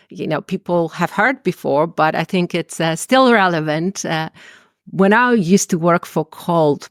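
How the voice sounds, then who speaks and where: A middle-aged woman from Greece, chatting as a podcast guest, warm and storytelling, with frequent fillers and restarts. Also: fairly low pitch, clear, resonant tone, no echo, clean studio sound. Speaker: podcast guest, tech founder. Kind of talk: conversational interview.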